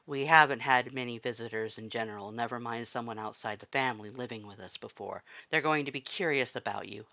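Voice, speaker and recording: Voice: medium pitch, bright, forceful tone, dry recording, highs cut off. A narrator doing a laid-back skeleton monster guy. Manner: casual, easygoing. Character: laid-back friendly skeleton monster man, lazily casual.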